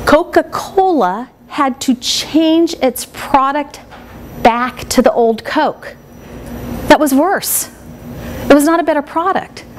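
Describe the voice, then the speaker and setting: A woman with a big, high voice leads a workshop for a small group in a meeting room. She talks in a chatty, lively way and laughs often. A faint hiss sits under her voice. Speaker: speaker trainer. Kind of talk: workshop teaching.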